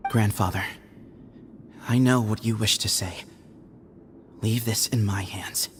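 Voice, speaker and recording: A man playing a shrewd, self-assured young heir to a temple leader, speaking in a measured, confident way. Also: fairly low pitch, fairly even intonation, background noise. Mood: solemn.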